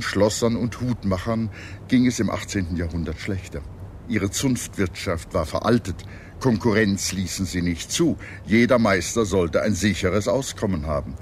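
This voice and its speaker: A man reading scripted historical narration as a documentary narrator, in a measured, formal manner. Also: sits low, a little hiss or hum. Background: music behind the narration.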